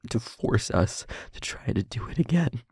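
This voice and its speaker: A man playing a guilt-ridden demon lover in an intimate audio roleplay, speaking in a halting, breathy, confessional hush. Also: mid-range voice, animated, no echo, clean studio sound. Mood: remorseful, haunted. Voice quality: breathy, husky, whispery.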